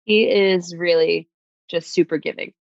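A woman from New York who performs on stage tells her story with a fairly low voice. She acts out her own past thoughts animatedly, and the close, dry recording sounds slightly dull. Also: fairly even intonation, a little slow, vocal fry, no echo. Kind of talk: casual personal storytelling.

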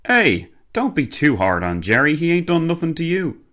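Man putting on a chatty Cockney cabbie, swooping pitch. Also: very animated, normal speed, a bit bright. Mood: chummy, cheeky. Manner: chatty, matey, jokey. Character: cheeky British cab driver putting on a Cockney act.

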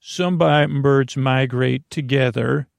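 A man with a gravelly, creaky voice hosting a bedtime podcast, rambling haltingly and often trailing off mid-thought. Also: medium pitch, steady tone, no echo. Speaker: podcast host.

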